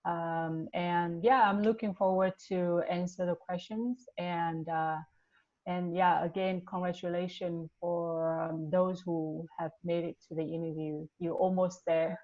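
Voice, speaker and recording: A woman's voice: fairly low pitch, fairly even intonation, measured pace, dark tone. A woman from Vietnam introducing herself as a webinar panelist, friendly and a little hesitant. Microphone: video call. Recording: dull video-call audio.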